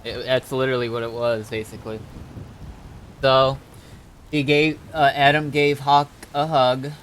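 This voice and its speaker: A man chatting casually on a game livestream, telling stories in a laid-back, halting way. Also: mid-range voice, level tone, a bit bright, a little hiss or hum. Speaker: game streamer. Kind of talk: casual livestream chat, recapping roleplay events.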